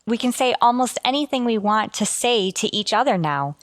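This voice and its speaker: A woman's voice: fairly high pitch, expressive, moderate pace, dry recording, lightly acted. A woman playing an eager, brave and deferential spaceship officer in a sci-fi radio drama, reporting in a clipped, respectful military way. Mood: earnest. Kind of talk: golden age sci-fi radio drama acting.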